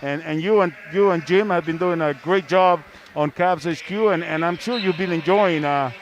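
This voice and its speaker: A man's voice: on the high side, moderate pace, dull audio. A man hosting a basketball live stream in a playful, chatty, casual way, over some venue noise. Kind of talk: casual sports broadcast chat.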